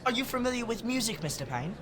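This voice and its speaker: An actor playing an earnest young street urchin. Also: male voice, very high voice, very animated, fast, a crowd in the background. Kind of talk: audio drama acting.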